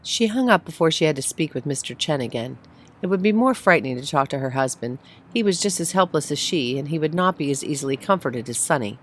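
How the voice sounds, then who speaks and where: Low-pitched woman narrating a story. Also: lively intonation, quick pace. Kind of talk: scripted short-story narration, read aloud. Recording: faint background noise.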